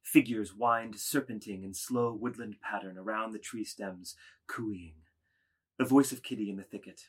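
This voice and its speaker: A man reading stage directions as a narrator, with a measured, literary delivery. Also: fairly low pitch, steady tone, slightly rough.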